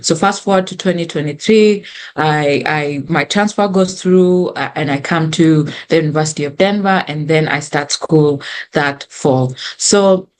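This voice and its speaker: Earnest low-voiced Kenyan woman. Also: measured pace. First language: Swahili. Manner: earnest and reflective, with frequent restarts. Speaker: guest. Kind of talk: conversational storytelling.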